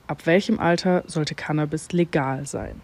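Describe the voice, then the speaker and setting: Higher-pitched male interviewer, faint noise. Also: normal speed, vocal fry, darkish tone. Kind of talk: clearly phrased survey questions.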